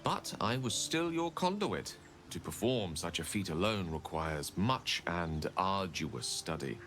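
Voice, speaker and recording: An earnest, scholarly wizard speaks over prominent music. His voice sits fairly low, and he chooses his words with eloquent, theatrical care, like a remorseful storyteller in a fantasy video game. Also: very animated, unhurried, a bit bright, full character voice. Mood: earnest, wry. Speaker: game character. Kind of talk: dramatic video game dialogue.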